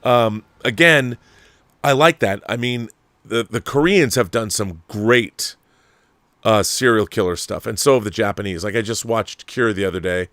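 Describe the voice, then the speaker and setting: A man's voice: fairly low pitch, normal speed, fairly bright tone, no room sound. A man hosting a commentary show, talking casually and opinionatedly in a rambling, emphatic way, repeating himself and swearing freely. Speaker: host of a film and TV commentary show. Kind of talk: casual opinionated commentary, answering viewer messages.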